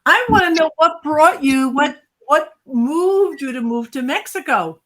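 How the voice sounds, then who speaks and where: A woman's voice: sits high, animated, measured pace, a bit bright, rough recording. Emphatic woman co-hosting a talk show. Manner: emphatic and direct, giving advice. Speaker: co-host.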